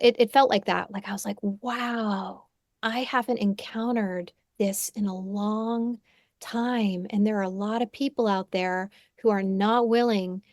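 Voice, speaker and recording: A woman's voice: mid-range voice, darkish tone, no room sound, clean studio sound. A woman in her forties, a podcast host and psychotherapist, speaking thoughtfully in conversation, often restarting and checking in with "right?". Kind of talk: reflective conversational interview. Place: home room. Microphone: headphones on a video call.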